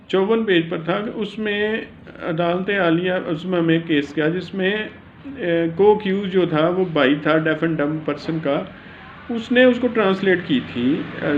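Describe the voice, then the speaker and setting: A man with a Pakistani accent and a fairly high voice explains material like a lecturer. He speaks haltingly, circling back and repeating himself with frequent fillers and English terms. The recording is dull and lo-fi, with a faint layer of noise. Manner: halting, repetitive, heavy use of filler phrases. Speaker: law lecturer.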